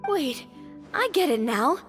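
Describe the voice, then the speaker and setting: A woman's voice: sits high, very animated, takes it slowly, loud music behind the voice, full character voice. A woman playing a young, bubbly, curious girl adventurer in a video game, eager and exclamatory.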